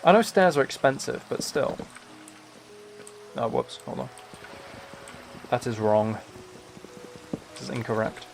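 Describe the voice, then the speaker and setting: A soft-spoken man livestreaming, chatting in a relaxed way and musing aloud as he plays a game. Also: medium pitch, slow, vocal fry, long pauses, faint music behind. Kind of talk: relaxed chat while playing a building game.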